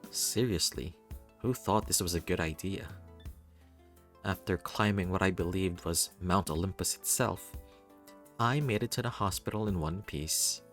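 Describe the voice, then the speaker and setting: Low-voiced man reading a story playfully. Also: measured pace, faint music behind. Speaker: reader of a visual novel. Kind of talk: expressive first-person story reading.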